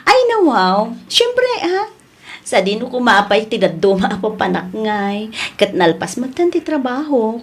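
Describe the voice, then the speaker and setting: A woman's voice: on the high side, very animated, a little slow, exaggerated voice. A woman playing a coy, evasive young woman with a secret in a radio comedy drama. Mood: coy, wary. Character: evasive young woman hiding an affair, teasing then flustered.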